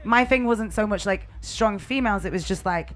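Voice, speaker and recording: A woman's voice: mid-range voice, normal speed, fairly bright tone. A woman talks on a festival panel in a loose, rambling way. She strings her thoughts together casually, with plenty of fillers along the way. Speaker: panel guest, music artist.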